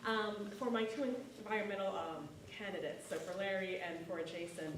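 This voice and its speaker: A woman's voice: medium pitch, moderate pace. A woman in the audience at a forum, politely asking a question with hesitant ums. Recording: echoey room from far off with background noise.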